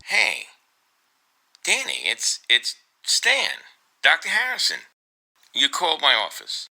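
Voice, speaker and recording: Man playing a concerned doctor, very bright voice. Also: a little high, huge pitch swings, slow, gravelly, pitched above the performer's own voice, full character voice. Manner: solicitous, coaxing. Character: concerned, encouraging male doctor counselling a patient.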